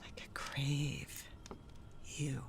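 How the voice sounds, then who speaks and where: An actress playing Jerry, a troubled, wistful, newly sober wife in a 1930s radio drama. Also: female voice, rumbling low, monotone, drawn-out words, in a whisper, full character voice. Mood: wistful, pleading.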